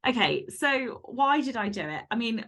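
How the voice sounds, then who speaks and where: A woman's voice: on the high side, lively intonation, normal speed, a bit bright. A woman chatting casually as an interview guest, candid and blunt, swearing freely. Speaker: podcast guest and fantasy romance author.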